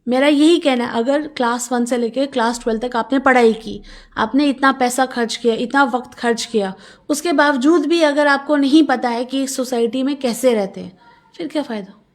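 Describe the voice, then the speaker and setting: A woman's voice: high-pitched, normal speed. A woman airing a complaint in an interview, emphatic and argumentative, using rhetorical questions and some English phrases. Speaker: local resident interviewed about a civic complaint.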